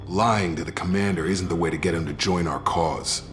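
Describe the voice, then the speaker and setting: An actor playing a loyal male operative who talks in a relaxed, informal and supportive way. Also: deep voice, moderate pace, a little hiss or hum. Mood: earnest. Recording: game audio with music score.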